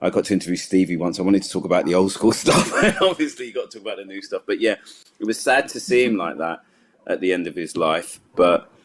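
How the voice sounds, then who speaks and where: A man's voice: low-pitched, expressive, quick pace. A man hosting a panel discussion as DJ and broadcaster, chatty and eager in the way he talks. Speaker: host, DJ and broadcaster.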